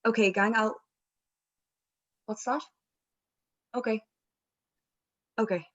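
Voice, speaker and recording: A woman's voice: on the high side, steady tone, takes it slowly, a bit bright. A woman in a comedy table read playing a breezy, affectedly sweet, harried character on a phone call.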